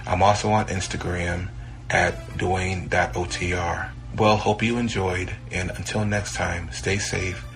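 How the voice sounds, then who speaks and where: A man hosting a podcast, giving a relaxed, friendly sign-off. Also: deep voice, measured pace, gravelly, soft background music. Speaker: podcast host. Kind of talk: casual podcast outro.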